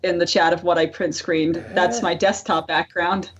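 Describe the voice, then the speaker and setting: A woman's voice: fairly low pitch, fairly even intonation, normal speed, muffled recording. A woman co-hosting a casual podcast over an online call, with a blunt, sweary way of talking. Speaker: podcast co-host.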